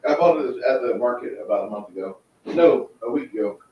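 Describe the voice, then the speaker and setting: A man's voice: mid-range voice, expressive, brisk. A man running a tabletop game, chatting casually with dry, wry remarks. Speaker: game master. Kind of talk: casual chat on a livestream. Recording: echoey room, lo-fi mic.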